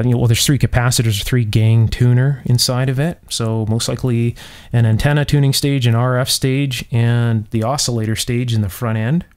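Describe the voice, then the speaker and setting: Male host for hands-on restoration demo: enthusiastic, unscripted, thinks aloud, mid-pitched voice. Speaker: host of an electronics restoration video series. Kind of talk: unscripted hands-on demonstration commentary. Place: indoor electronics workshop at a bench.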